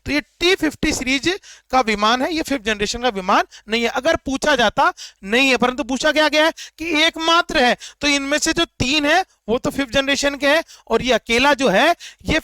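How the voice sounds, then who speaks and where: A man's voice: very high-pitched, normal speed, bright, forceful tone, dry recording. A man teaching exam preparation, walking through answer keys with repeated phrases and rhetorical questions. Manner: repetitive, explanatory, rhetorical questions.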